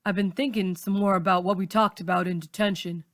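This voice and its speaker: Woman voicing an earnest, low-key teenage boy. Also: fairly even intonation, a little fast, a bit bright, no room sound, lightly acted. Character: earnest, calm, friendly teenage boy classmate.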